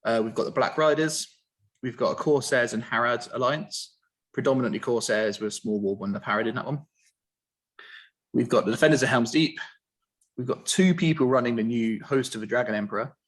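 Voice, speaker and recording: A man hosting an online interview, explaining a tournament in a relaxed, filler-heavy way. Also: mid-range voice, a little fast. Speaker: host.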